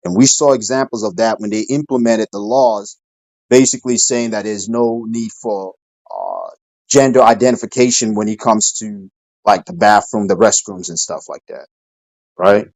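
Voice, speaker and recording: Low-voiced man moderating, hesitant. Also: animated, normal speed, darkish tone, no room sound, rough recording. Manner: hesitant, full of fillers and "right?". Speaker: moderator of an online discussion room. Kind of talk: casual moderating and commentary.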